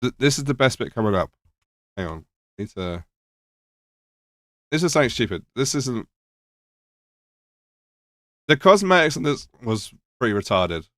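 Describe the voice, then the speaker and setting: A man's voice: mid-range voice, big pitch swings, unhurried, long pauses, a bit bright, dry recording. A man reacting to a video in casual commentary, slightly nasal and losing his voice, sarcastic and swearing. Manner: sarcastic, swears, mock-questioning asides.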